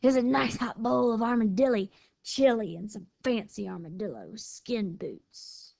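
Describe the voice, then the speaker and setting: A woman's voice: fairly high pitch, lively intonation, unhurried, gravelly, a little mellow, no room sound, exaggerated voice. Lady doing a growly mean hungry girl coyote for a kids story, snarly and bossy, close dry sound. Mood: hungry, snapping. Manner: growling, snarling, snapping demands. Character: hungry, menacing, scraggly female coyote.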